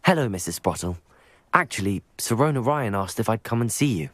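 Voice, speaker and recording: A man's voice: on the low side, animated, a little fast, no echo. A man playing a polite, helpful young wizard student in a video game, earnest and understated. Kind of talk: video game dialogue acting.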